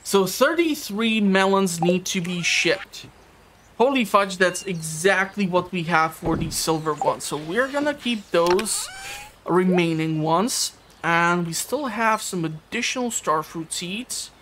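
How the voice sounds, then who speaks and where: A woman's voice: lowish voice, animated, takes it slowly, fairly bright tone, slight background noise. A woman casually commenting on her farming game, rambling and tripping over words with joking asides. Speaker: gameplay commentator. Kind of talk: casual let's-play commentary. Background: game soundtrack.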